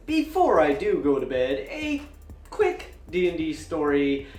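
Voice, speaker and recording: A man in his forties telling a personal story casually as a gaming vlogger, with theatrical emphasis and dramatic pauses. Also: high voice. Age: 40s. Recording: roomy, echoing sound.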